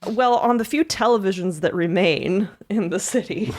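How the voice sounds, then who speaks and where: A woman's voice: medium pitch, normal speed, a little mellow. A woman playing a tabletop roleplaying game, improvising the story in a casual, conversational way.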